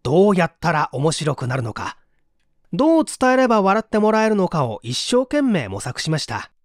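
A man reads a book aloud in a fairly high voice with lively intonation. His narration is expressive and clearly articulated, and the sound is dry and close. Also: moderate pace, dry recording. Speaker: audiobook narrator.

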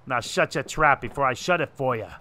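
A man's voice: medium pitch, brisk, pushed and bright, natural voice. A man playing a tough-talking, wry 1940s private detective in a noir radio drama, with clipped slangy wisecracks. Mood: threatening, cocky. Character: gruff hard-boiled 1940s private detective, wry and threatening. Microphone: close desk microphone with headset.

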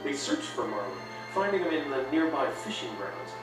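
Male storybook narrator, expressive range, very echoey recording over loud music. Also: medium pitch, normal speed, recorded from a distance. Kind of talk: scripted narration of a children's read-along story.

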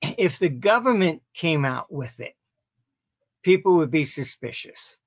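An older man with a fairly high voice gives expert commentary as an interview guest. He speaks very slowly and haltingly, with dismissive emphasis. The recording is a dry video call. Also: sing-song, dry recording. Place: home office, indoors.

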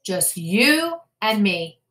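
A woman's voice: mid-range voice, big pitch swings, extremely slow, fairly bright tone, pitched above the performer's own voice, heavily acted. A woman voicing an earnest, curious little boy rabbit in a storybook, with a childlike, questioning manner. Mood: earnest, puzzled.

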